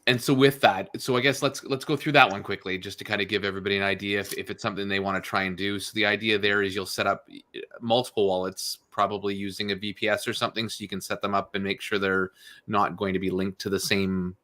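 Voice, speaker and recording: Bright-voiced male host. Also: lowish voice, fast talker. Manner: relaxed, many fillers and restarts. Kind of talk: casual online chat.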